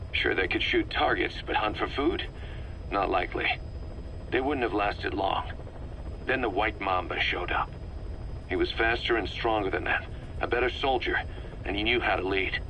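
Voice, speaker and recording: An actor playing a serious military second-in-command in a video game, giving measured, analytical briefings. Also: male voice, low-pitched, animated, bright, forceful tone, slight background noise, rough recording. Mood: grave, explanatory. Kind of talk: video game voice acting, dialogue.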